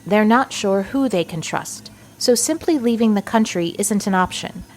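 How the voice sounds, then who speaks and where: A woman's voice: medium pitch, moderate pace, a little mellow, a little hiss or hum, lightly acted. Woman as a firm, businesslike spy chief. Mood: briefing, firm. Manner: matter-of-fact, authoritative. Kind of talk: scripted audio comedy acting.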